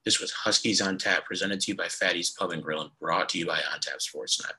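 A young man hosting a casual sports podcast, hoarse from losing his voice, rambling with lots of fillers. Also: sits low, fairly quick, pushed and bright, rough recording. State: hoarse, lost voice. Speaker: podcast host.

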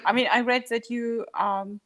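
A woman's voice: on the high side, fairly even intonation, moderate pace, a bit bright. A woman conducting an interview, asking questions in a friendly, conversational way.

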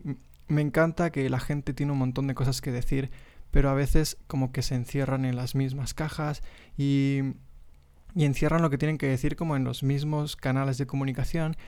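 A man's voice: medium pitch, a little mellow. A young man from Mexico who speaks with a Spain Spanish accent, hosting his own podcast in a casual, hesitant monologue full of fillers and restarts, recorded on a podcast microphone. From Mexico (Monterrey). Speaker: podcast host.

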